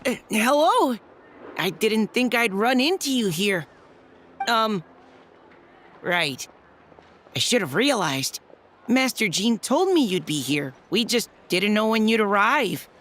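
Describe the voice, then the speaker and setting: A woman's voice: medium pitch, fairly bright tone, slight background noise. A woman voicing an earnest, slightly flustered young knight courier in a video game, polite and hesitant. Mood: surprised, awkward. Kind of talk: anime-style video game voice acting.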